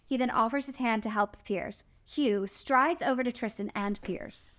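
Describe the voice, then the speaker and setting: A woman's voice: a little high, moderate pace, fairly bright tone. A woman narrating stage directions from a script at a table read.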